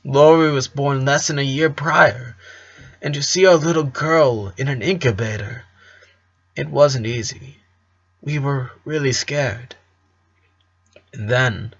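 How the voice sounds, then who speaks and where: A man plays a middle-aged cartoon dad with a bright, forceful voice. He tells nostalgic family stories with earnest fondness and a joking streak. The recording is slightly dull and lo-fi. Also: mid-range voice, husky. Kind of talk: cartoon fan fiction reading.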